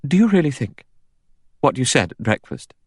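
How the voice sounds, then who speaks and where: A highly strung young woman from a storybook, played by a man. Her voice sits high and swings about anxiously. She is urgent and faltering, as if holding down panic, in a dry close recording. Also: very animated, normal speed, a little mellow, slightly rough, no room sound. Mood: uneasy, urgent.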